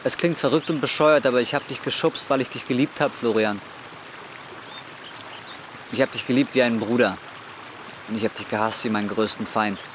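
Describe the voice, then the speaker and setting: A man's voice: medium pitch, a little fast, pauses often, close to an everyday voice. An actor in his twenties playing a desperate young man burdened by guilt, blunt and brooding, in a naturalistic radio drama. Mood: anguished, confessing. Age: 20s. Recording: clearly noisy ambience with vehicle sounds.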